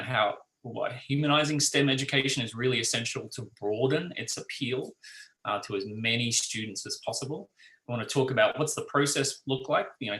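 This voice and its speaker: Male host, rambling intro, gravelly and bright, roomy echo. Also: mid-range voice. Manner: rambling, filler-heavy. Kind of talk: introducing a talk. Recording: echoey room, distant mic.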